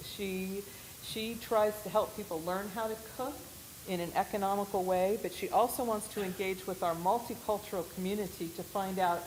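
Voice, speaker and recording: A woman with a loud voice that carries across a church room, talking informally to the congregation about her community volunteering. She often restarts her sentences mid-thought. A faint hiss sits under the recording. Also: medium pitch, fairly even intonation, moderate pace, rough recording. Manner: loud, projecting voice; chatty, with frequent restarts. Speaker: church volunteer sharing a story.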